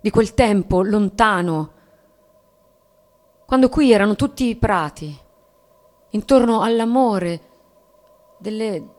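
An actress playing a submissive, nostalgic young bourgeois wife in a literary radio drama, speaking deliberately. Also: female voice, medium pitch, darkish tone, natural voice. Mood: impassioned. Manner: slow, deliberate, at times whispered. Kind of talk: dramatic stage reading for radio, literary monologue.

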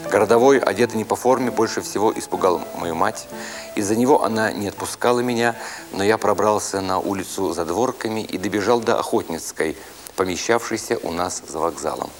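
Guy with a lowish voice reading a short story, dramatic pauses, bit of music behind. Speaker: narrator, actor reading an audiobook. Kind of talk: dramatic literary storytelling, scripted narration.

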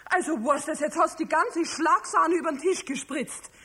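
A woman's voice: high voice, normal speed, bright, forceful tone. An actress playing a chatty, bossy mother with a Bavarian accent, fussing and nagging in a children's radio play. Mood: scolding.

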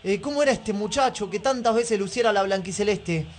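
A man playing an excitable, florid Argentine football commentator in a radio drama, with grandiloquent delivery. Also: high voice, fairly quick, a little hiss or hum, natural voice. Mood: admiring, musing. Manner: grandiloquent, theatrical.

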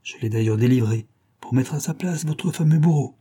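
A man's voice: medium pitch, a little fast, warm tone, husky, pitched above the performer's own voice, close to an everyday voice. A man reading a story, voicing a stern, triumphant male detective with a raised, commanding voice.

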